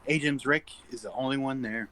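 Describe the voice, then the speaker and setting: A man's voice: medium pitch, fairly quick, pushed and bright, poor audio. A man reading stage directions aloud as narrator in an informal script table read.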